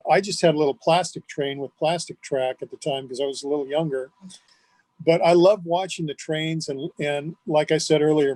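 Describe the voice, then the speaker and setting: Higher-voiced man reminiscing, close and dry. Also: darkish tone, no room sound, poor audio. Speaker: interview guest, longtime fan. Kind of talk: conversational reminiscing.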